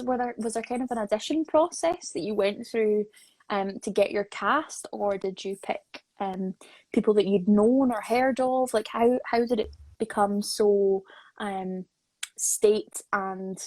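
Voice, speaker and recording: A woman's voice: medium pitch, a little slow, a little mellow, no echo. A woman hosting a casual live interview, speaking in a conversational, encouraging way. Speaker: interview host.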